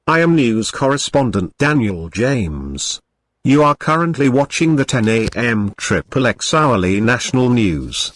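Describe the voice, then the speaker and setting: A man playing a formal local television news correspondent, reading a scripted morning report in a measured way. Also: medium pitch, no room sound, lightly acted.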